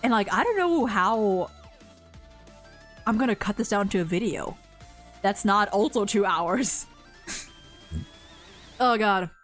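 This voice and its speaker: A woman hosting a livestream, chatting casually and excitably and swearing freely. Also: medium pitch, takes it slowly, pauses often, faint music behind. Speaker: streamer host.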